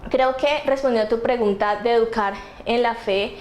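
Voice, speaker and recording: A woman's voice: on the high side, normal speed, darkish tone, slight background noise. A woman with a central Colombian accent from around Bogotá, answering as an interview guest in an earnest, conversational way. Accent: Colombian, central Andean around Bogotá. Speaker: interview guest speaking about faith. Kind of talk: casual conversational interview, personal explanation. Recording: roomy echo with music behind.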